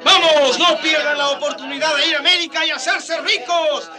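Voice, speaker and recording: Shrill street crier, hawking. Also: male voice, steady tone, moderate pace, crowd noise. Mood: exhorting. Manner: hawking, exhorting calls. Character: loud street crier luring recruits to conquest. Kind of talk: radio drama acting.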